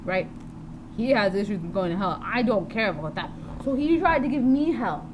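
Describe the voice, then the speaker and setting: A woman's voice: mid-range voice, normal speed. Rambling woman vlogger over background noise. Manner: rambling, jumps from topic to topic; blunt, swears often. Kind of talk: unscripted video diary monologue. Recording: steady background noise with passing vehicles.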